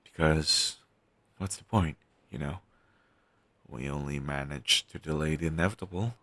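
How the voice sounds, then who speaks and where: A man playing a pessimistic zombie best friend with dark sarcasm, speaking haltingly in an intimate audio roleplay. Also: very deep voice, no room sound, full character voice. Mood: guilty, bitter. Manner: halting, sighing, sardonic delivery with trailing hesitations.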